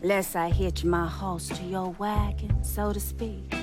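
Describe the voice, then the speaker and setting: An actress playing a shrewd, proud female crime boss in a game drama, with a measured, commanding delivery. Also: mid-range voice, steady tone, natural voice. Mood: wary, sardonic. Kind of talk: video game cutscene drama acting. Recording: music behind the voice.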